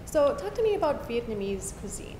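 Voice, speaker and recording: A woman's voice: on the high side, sing-song, a little fast, background noise. A woman hosting a food interview show, upbeat and friendly. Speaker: host. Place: restaurant dining room.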